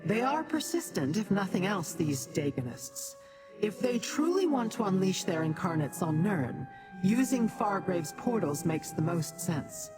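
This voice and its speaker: An actress playing a haughty, businesslike woman in a fantasy video game, speaking in a measured, formal and commanding way. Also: medium pitch, sing-song, over music. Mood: grave, measured. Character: stern, imperious female schemer, haughty and businesslike.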